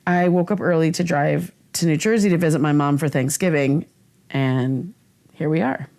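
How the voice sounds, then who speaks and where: A woman's voice: deep voice, moderate pace, a little mellow. An actress playing an earnest, vulnerable young woman who confides in podcast listeners in a casual, hesitant, candid way. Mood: tentative, candid. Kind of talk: scripted podcast narration, confessional.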